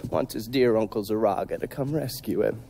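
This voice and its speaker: A man's voice: lowish voice, a little fast, vocal fry, mellow, a little hiss or hum. A man playing a wry, foul-mouthed intelligence operative giving a casual briefing in a video game. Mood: brisk, frank. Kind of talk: dramatic video game acting.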